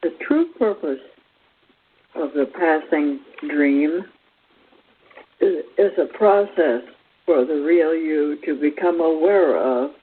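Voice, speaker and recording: A woman host reads spiritual writing aloud at a slow, deliberate pace, now and then stumbling over a word. Her voice is husky, and the audio is thin, like a phone line on the radio. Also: low voice. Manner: deliberate, halting reading with stumbles. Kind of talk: reading a spiritual book aloud.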